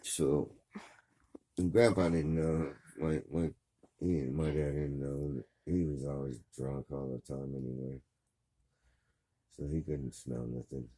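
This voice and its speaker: A man in his sixties with a rough, worn voice, talking slowly and easily in a casual chat at home. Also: a bass voice, no room sound. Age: 60s. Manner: slow, relaxed storytelling with drawn-out fillers.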